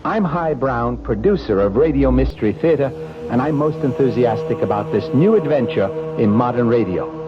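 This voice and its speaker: Earnest radio producer announcement. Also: male voice, mid-range voice, lively intonation, dull audio. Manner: earnest and measured. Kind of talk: on-air promotional announcement. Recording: vintage radio broadcast with music.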